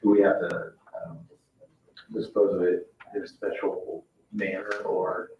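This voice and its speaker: A man with a very deep, flat voice chairs a council meeting. His procedural talk reaches a distant microphone through a roomy echo. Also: measured pace, a little hiss or hum. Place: indoor public meeting room. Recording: echoey room, distant mic, dull sound.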